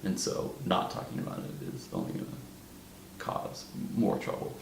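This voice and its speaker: A man's voice: moderate pace, background noise. A twenty-year-old man talking reflectively and informally in a documentary interview, thoughtfully correcting himself as he goes. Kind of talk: candid, reflective interview talk. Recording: roomy echo with faint music. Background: faint instrumental music.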